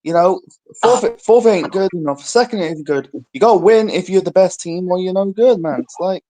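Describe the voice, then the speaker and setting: Chill guy calling in, rambles, says you know a lot, bit higher voice. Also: moderate pace, mellow, poor audio. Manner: laid-back, rambling, lots of fillers. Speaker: caller. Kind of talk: casual chat.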